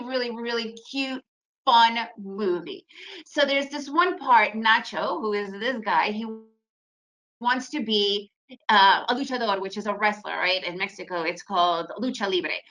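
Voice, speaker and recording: A woman's voice: on the high side, sharp tone, low-quality recording. A woman in her forties, raised in the United States and a native Russian speaker, presenting a trading webinar in a chatty storytelling way. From Ukraine, raised in the United States. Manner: chatty, storytelling, addresses audience with "right?". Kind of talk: casual presentation.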